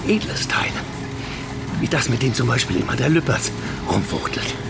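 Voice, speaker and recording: An actor in a German radio play, playing an eccentric male aesthete who ponders grandly in pompous, halting phrases. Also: medium pitch, lively intonation, creaky, over loud music. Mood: earnest, enthusiastic.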